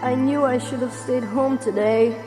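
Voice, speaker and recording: A woman's voice: high voice, steady tone, normal speed, warm tone, soft background music, natural voice, rough recording. A woman voicing an anxious, timid small schoolchild with a whiny, fretful manner in a children's story. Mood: whiny worry. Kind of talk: children's read-along audio acting.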